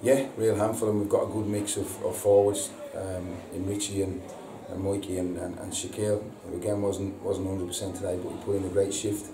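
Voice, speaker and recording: Man in his thirties, post-match manager, hesitant and repetitive, low even voice, far mic in an echoey noisy room. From England. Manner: halting, repeats words, frequent ums. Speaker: football manager. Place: indoor press room before a sponsor board. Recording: echoey room, distant mic, audible hiss.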